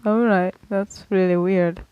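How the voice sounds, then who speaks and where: A woman co-hosting a podcast in casual conversation, questioning and gently challenging what is said. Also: medium pitch, fairly even intonation, unhurried, muffled recording, a little hiss or hum. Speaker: podcast co-host.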